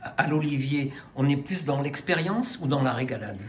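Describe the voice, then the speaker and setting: A man's voice: mid-range voice, expressive, normal speed, slight background noise. A man interviewing a chef, asking questions in a chatty, upbeat way. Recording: roomy echo.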